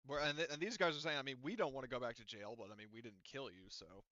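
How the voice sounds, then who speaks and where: Clear-voiced man game master, slightly dull recording. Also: medium pitch, fast talker, sharp tone, no room sound. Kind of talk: casual tabletop game session talk.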